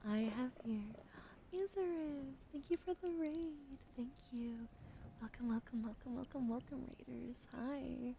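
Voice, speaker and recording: A woman livestreaming ASMR in a soft, breathy whisper and hushed casual chat, with a slightly weak, wheezy throat, speaking gently to her viewers up close to the mic. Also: high-pitched, measured pace, a little hiss or hum. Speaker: streamer hosting a live ASMR broadcast.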